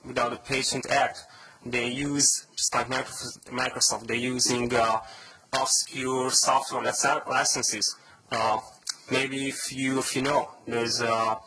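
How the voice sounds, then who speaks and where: A man's voice: medium pitch, bright, forceful tone, a little hiss or hum, poor audio. A man with a Hungarian accent giving a conference talk, reading a prepared speech with many hesitations and restarts. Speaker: conference speaker.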